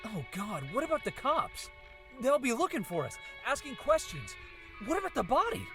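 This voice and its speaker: A man voicing a frightened, disbelieving young university student in a visual novel, speaking in an anxious, halting way. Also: very high-pitched, wildly swooping pitch, over music, voice pushed up, exaggerated voice. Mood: panicked. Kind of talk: visual novel character reading.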